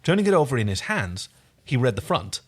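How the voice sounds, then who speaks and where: Male co-host, mid-range voice, animated swings in pitch, informal story narration. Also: fairly quick, husky. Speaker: podcast co-host reading fan fiction aloud. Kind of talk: story reading with casual chat.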